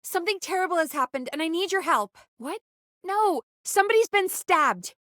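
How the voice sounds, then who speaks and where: A young female radio producer in a video game, with a high voice and a close, dry sound. She is earnest and capable but anxious, speaking naturally with small hesitant asides. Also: expressive, moderate pace, a bit bright, no room sound. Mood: urgent, exasperated.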